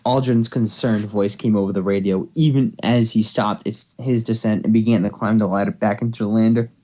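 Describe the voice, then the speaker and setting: A man's voice: fairly low pitch, fairly even intonation, fairly quick, warm tone. Man narrating a story, slightly dull recording. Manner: stumbles over words while reading. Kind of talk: amateur fan fiction narration.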